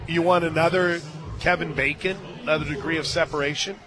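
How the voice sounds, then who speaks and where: A man doing live radio play-by-play of softball, mixing excitable calls with chatty asides. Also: on the high side, fairly quick, music in the background. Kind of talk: live softball commentary mixed with casual chat. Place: stadium radio booth.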